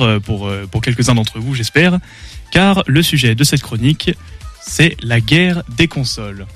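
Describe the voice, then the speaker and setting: A young man presenting a prepared gaming segment on student radio, reading a script with frequent hesitations. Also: medium pitch. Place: radio studio. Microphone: studio mic.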